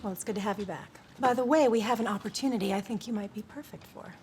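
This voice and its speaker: An actress playing a brisk woman in naturalistic film dialogue. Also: medium pitch, a little mellow, slight background noise, lightly acted.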